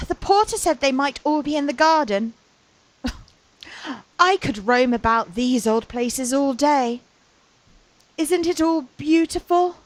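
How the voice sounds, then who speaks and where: A woman's voice: sits high, expressive, slow pace, long pauses, rough recording. A woman playing an innocent, fanciful young lady in a Victorian radio play, wistful and poetic. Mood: dreamy, wistful. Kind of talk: Victorian period radio acting.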